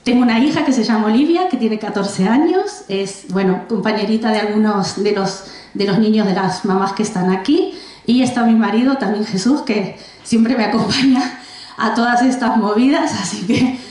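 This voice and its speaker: A woman's voice: a little high, normal speed, darkish tone. A woman in her forties from Argentina, presenting her first book to an audience in a library, chatty with frequent restarts and asides. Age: 40s. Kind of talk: public book presentation talk. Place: public library room with audience. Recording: very echoey library room.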